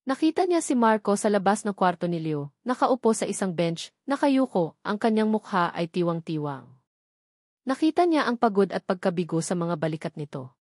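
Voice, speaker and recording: A woman's voice: medium pitch, very animated, moderate pace, a little mellow, no room sound, studio quality. A woman with a Filipino accent narrating a scripted dramatic story.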